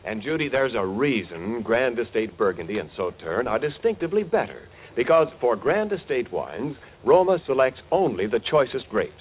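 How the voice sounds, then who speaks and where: A man announcing and selling as a 1940s radio sponsor pitchman, with polished, emphatic delivery. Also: very animated, normal speed, few pauses. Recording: tinny, hissy vintage radio broadcast.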